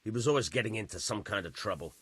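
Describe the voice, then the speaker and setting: An actor playing a gruff, weary village bailiff in a video game, blunt and grudging in how he talks. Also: lowish voice, expressive, fast talker, fairly bright tone, dry recording. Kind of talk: video game cutscene acting.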